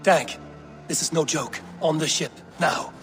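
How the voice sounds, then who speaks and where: An actor playing a stern, resolute Viking raider captain in a video game, terse and commanding. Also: male voice, fairly high pitch, a little slow, fairly bright tone, soft background music. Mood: stern, urgent. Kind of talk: video game cutscene acting.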